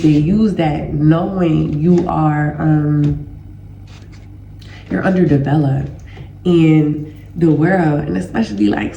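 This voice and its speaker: A woman's voice: low voice, gravelly, warm tone, slight background noise. A woman with a stuffy nose and sore throat, talking casually to viewers as a healing educator and host, loose and conversational. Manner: conversational, loose, addresses listeners as y'all. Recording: roomy echo.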